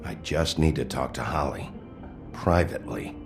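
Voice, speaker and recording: An actor playing a gruff, weary wolf detective, terse and restrained, in a video game. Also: male voice, extremely low pitch, moderate pace, over music. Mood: terse, calm. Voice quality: gravelly.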